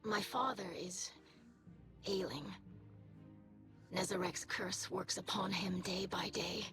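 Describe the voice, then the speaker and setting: Earnest young alien scholar, breathy and soft, game score under voiced by a woman. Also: medium pitch, fairly even intonation, slow pace, natural voice. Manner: earnest, thoughtful, soft delivery. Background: music.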